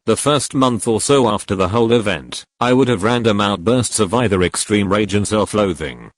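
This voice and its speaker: A man narrating forum stories, reading each post straight through in one steady voice. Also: on the low side, no echo. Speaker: narrator reading online posts.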